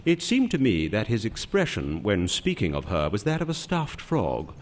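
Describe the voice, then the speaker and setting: An actor playing a flippant young upper-class British gentleman, droll and excitable, in a comic audio drama. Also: on the low side, normal speed, a little hiss or hum. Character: genteel, flippant young English gentleman, narrating his misadventures.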